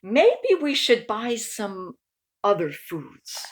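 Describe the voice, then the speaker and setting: A woman voicing a weary, practical aunt in a children's picture book, expressive and slightly plaintive. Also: mid-range voice, extremely slow, exaggerated voice.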